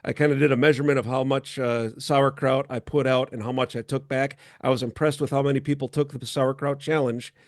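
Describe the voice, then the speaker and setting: A man chats casually as a show co-host, with playful banter and an easygoing joking manner. His voice sits at an average pitch, and the sound is close and dry, with hardly any room on it. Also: steady tone, a little fast, hardly any pauses, dry recording. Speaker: podcast co-host. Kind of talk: casual chat.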